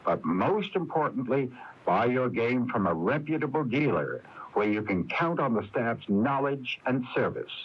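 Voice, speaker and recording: A man's voice: mid-range voice, lively intonation, unhurried, breathy edge. A man reading a scripted public service announcement for radio in an emphatic, advice-giving manner. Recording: dull lo-fi AM radio tape.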